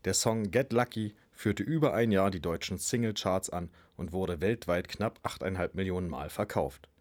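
Brief: student presenter, lowish voice, plain read. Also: male voice, normal speed. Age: young adult. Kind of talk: reading a track introduction.

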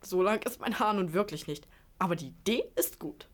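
A woman's voice: medium pitch, normal speed, natural voice. A female performer playing a sensible, bossy schoolgirl who explains and instructs her siblings in a children's radio play. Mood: firm.

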